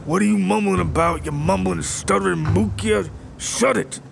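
A man voicing a hot-tempered thug spider who yells and bullies. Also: high-pitched, normal speed, gravelly, noisy background, voice pushed up. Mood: angry. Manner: yelling, bullying.

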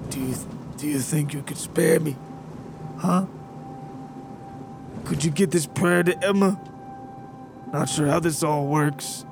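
A man's voice: a little high, slow, vocal fry, long pauses, over music. A man doing a stammering frightened old man. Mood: pleading. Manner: stammering, pleading.

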